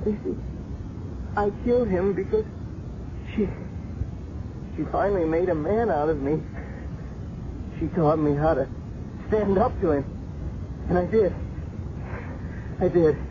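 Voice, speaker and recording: Very high male voice, anguished weak young nephew, stammering, pleading, seventies radio drama, muffled recording. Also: unhurried, pauses often, noisy background. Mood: broken, confessing. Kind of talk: 1970s radio acting.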